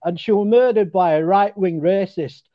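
High-voiced Leeds man on a muffled line. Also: normal speed, dry recording. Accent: Northern English, Leeds. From Leeds, England. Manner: chatty, heartfelt, says "what" for "that". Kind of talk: casual podcast chat.

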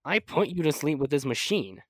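A young man playing a proud, excitable scientist-inventor in old-time radio serial style, with a fussy, halting, stammering manner. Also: fairly high pitch, dry recording, clean studio sound. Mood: pleased, proud. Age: young adult. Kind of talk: 1930s radio serial acting.

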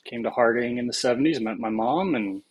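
A man's voice: medium pitch, a little fast, slightly rough, dry recording. Guy who grew up in south africa, chatting easy, laughs a lot, voice kinda dry and close. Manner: reflective, laughs often, many restarts. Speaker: podcast guest. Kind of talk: casual conversation.

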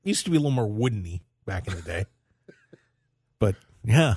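A man's voice: on the low side, very animated, moderate pace, a little mellow, slightly rough, no room sound, studio quality. An American man hosting a casual sports talk radio show in a jokey, rambling way. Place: dry radio studio.

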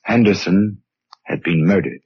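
Brief: male American narrator, low husky voice, vintage crime-show storytelling, muffled old broadcast recording. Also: measured pace. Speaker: radio drama narrator. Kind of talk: scripted 1940s radio mystery narration. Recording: muffled 1940s radio recording.

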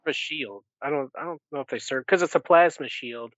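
A man's voice: mid-range voice, brisk, a bit bright, no echo, dull audio. A man co-hosting a podcast, explaining and discussing books in a casual conversational way. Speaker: podcast co-host.

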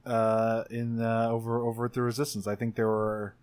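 A man's voice: medium pitch, flat delivery, moderate pace, a little mellow, clean tone. A man chatting casually and playfully as he improvises a story in a tabletop role-playing game. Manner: playful, joking. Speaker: tabletop game player and podcaster.

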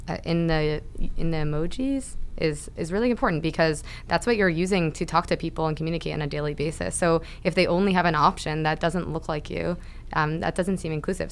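A woman with a low, smooth and clear voice, talking as a podcast guest. She speaks thoughtfully and hesitantly, often restarting as she works out her points. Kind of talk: casual chat.

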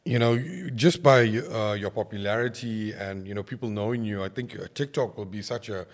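A man with a South African accent hosting a conversational podcast interview, speaking haltingly and repeating words as he forms questions. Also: fairly low pitch. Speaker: podcast host. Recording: reverberant, hall-like echo.

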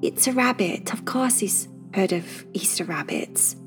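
A woman's voice: medium pitch, expressive, a little slow, music in the background, natural voice. Woman doing know-it-all friend Faya. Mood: reasoning.

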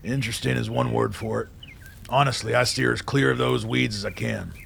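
A man playing a seasoned, plain-spoken army colonel in a radio drama, gravelly, measured and dryly understated. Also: mid-range voice, steady tone, fairly bright tone, a little hiss or hum. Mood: dry, relaxed.

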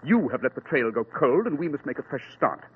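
An actor playing a brisk, cool-headed male detective in a crisp, clipped, matter-of-fact manner, in 1940s radio acting. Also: mid-range voice, very animated. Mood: calm. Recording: tinny lo-fi old radio broadcast with faint hiss.

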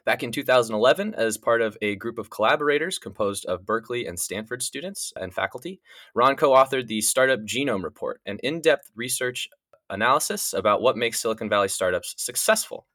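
A man's voice: mid-range voice, animated, brisk, bright, forceful tone, no room sound, clean studio sound. A man hosting a podcast, reading a scripted intro and then chatting loosely with his guest. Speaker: podcast host. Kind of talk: podcast interviewing.

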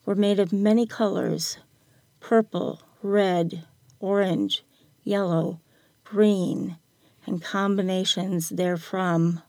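A woman's voice: mid-range voice, darkish tone, no echo. An older woman reading her own reflective written story aloud as a guest writer.

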